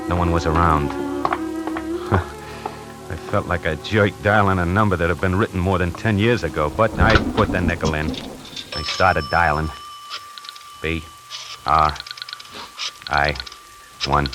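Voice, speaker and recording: A man's voice: low-pitched, steady tone, unhurried, pauses often. An actor playing a wisecracking necktie salesman who narrates his own story in a 1940s radio drama, hardboiled and slangy. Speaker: narrator and lead character. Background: music.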